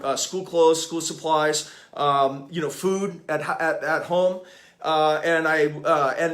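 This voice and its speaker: A middle-aged man from Hawaii hosts a casual live stream, his voice sitting a little on the higher side. He stops and restarts often, filling gaps with uh and um. Underneath the hesitations he stays enthusiastic as he reads reviews aloud and talks about growing up. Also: a bit bright. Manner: halting, many uh and um fillers, enthusiastic. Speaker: author and app developer hosting a live stream. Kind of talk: casual livestream talk, reading reviews aloud.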